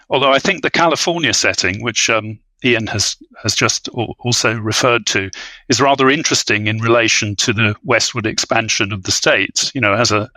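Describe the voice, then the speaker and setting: A man speaking as an expert guest in an academic discussion, hesitant and scholarly, often pausing to rephrase. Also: mid-range voice, pushed and bright, dry recording, poor audio. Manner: halting, hedging, scholarly, frequent "you know". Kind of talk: academic discussion on a film podcast.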